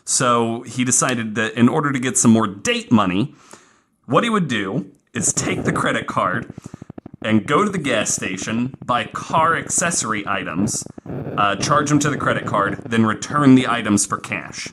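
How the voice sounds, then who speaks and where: A man hosting a podcast, telling a true story casually in an informal, halting way with some self-corrections. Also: mid-range voice, animated. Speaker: podcast host. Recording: faint background noise.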